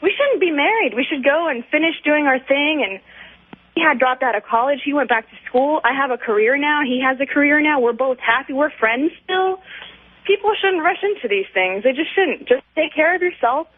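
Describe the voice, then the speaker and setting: A woman's voice: high-pitched, lively intonation, moderate pace. A woman calling a radio show over the telephone, chatting candidly in an emphatic, rambling way with strong opinions. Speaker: radio call-in listener. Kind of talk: casual, candid chat. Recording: tinny, narrow phone line.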